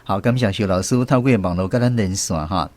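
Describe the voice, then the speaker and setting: A man's voice: fairly low pitch, a little fast, darkish tone, dry recording. A man with a Taiwanese accent presenting a segment as a radio show host. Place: radio studio.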